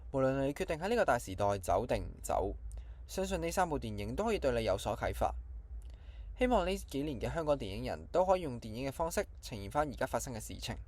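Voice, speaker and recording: A man's voice: medium pitch, a little mellow. A man with a Hong Kong Cantonese accent presents scripted film trivia as an online channel host.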